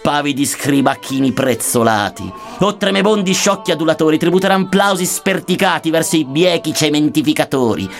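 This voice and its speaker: A man's voice: on the high side, lively intonation, moderate pace, vocal fry, few pauses. A man recites archaic epic verse in a solemn, theatrical manner. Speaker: reader of verse. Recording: music under the voice. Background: music.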